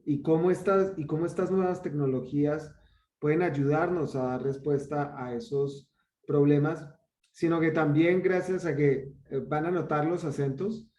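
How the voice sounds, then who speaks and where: Man, Colombian accent, fairly high voice, mellow tone, earnest and halting, roomy echo. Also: steady tone. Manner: hesitant restarts, earnest. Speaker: bootcamp organizer. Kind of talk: online welcome speech.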